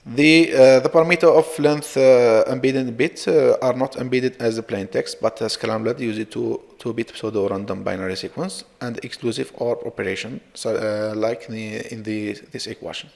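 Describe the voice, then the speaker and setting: Male researcher presenting slides; stop-start hesitant delivery, gravelly fry, echoing hall-like sound. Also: medium pitch, fairly even intonation, a little mellow. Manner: halting, hesitant delivery with frequent repeats. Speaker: researcher presenting own work. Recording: reverberant, hall-like echo.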